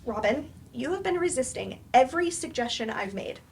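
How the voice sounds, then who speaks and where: A woman's voice: high voice, lively intonation, moderate pace, a little hiss or hum. A woman acting in a staged play reading as a frank, exasperated therapist, pointed and confrontational with her patient.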